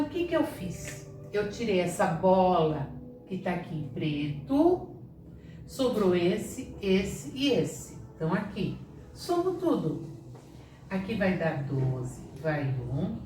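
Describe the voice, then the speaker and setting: A woman teacher talks through sums step by step, asking questions and answering them herself. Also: mid-range voice. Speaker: math teacher. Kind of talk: classroom lesson at a board. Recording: echoey room, voice from a distance, clear background hiss.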